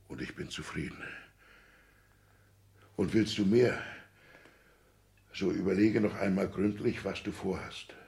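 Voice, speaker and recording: An old petty-bourgeois father, anxious and full of indignation. His creaky voice leaps widely between outburst and hushed lament. The delivery is theatrical, in the manner of a 1960s German radio play. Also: lowish voice, echoey room. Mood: pleading, weary. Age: older.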